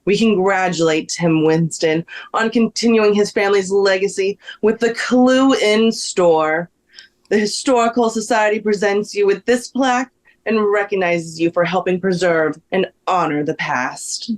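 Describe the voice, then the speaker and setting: A woman playing a gracious, formal society president giving a measured ceremonial speech at a table read. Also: medium pitch, lightly acted.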